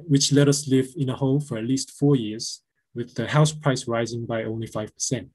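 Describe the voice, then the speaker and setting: Man, husky voice, halting presentation with fillers, video call. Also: medium pitch, a little mellow, low-quality recording. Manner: halting, many filler sounds. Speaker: presenter of a housing co-op.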